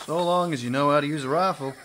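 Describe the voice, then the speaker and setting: An actor playing a gruff, blunt old-west marshal in a radio drama. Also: male voice, fairly high pitch, fast talker, a bit bright, a little hiss or hum, natural voice. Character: gruff weary male marshal in 1909.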